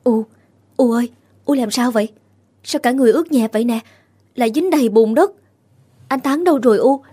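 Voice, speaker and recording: A woman voicing a loyal, fierce young village woman who speaks in rapid, indignant bursts in an audio story. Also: high voice, animated. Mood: alarmed.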